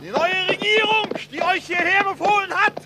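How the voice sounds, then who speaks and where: A shrill rabble-rousing rebel. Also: male voice, moderate pace, bright, forceful tone, faint music behind, exaggerated voice. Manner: declaiming, rousing speech. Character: male revolutionary haranguing troops. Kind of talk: radio drama acting.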